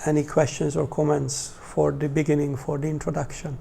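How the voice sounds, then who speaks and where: A man in his forties with a Hungarian accent, talking informally to a small religious class in a hesitant, halting way with pauses. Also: a little high, little pitch movement, creaky, warm tone, slight background noise. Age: 40s. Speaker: religious teacher.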